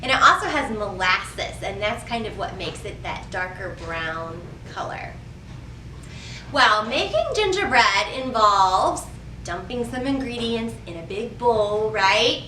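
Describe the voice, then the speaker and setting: A woman's voice: medium pitch, lively intonation, a little slow. A woman hosting a library storytime, addressing young children with questions and prompts as she reads and shares rhymes. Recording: roomy echo, distant mic, faint noise.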